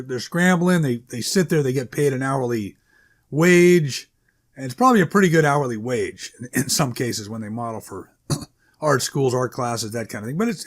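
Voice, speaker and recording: A man giving an informal, unscripted author's introduction to his writing, rambling and halting as he corrects himself. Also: mid-range voice. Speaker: author introducing own short story.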